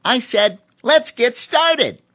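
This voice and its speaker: A man voicing a boastful young male chimpanzee with a squeaky, brash manner in a storybook reading. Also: animated, slow, sharp tone, exaggerated voice. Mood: eager.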